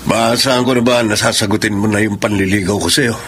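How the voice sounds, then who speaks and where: A man's voice: on the low side, steady tone, quick pace, fairly bright tone, slight background noise, lightly acted. A man playing an obsessive, threatening suitor in a radio drama, insistent and willing to kill. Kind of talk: Filipino radio drama acting.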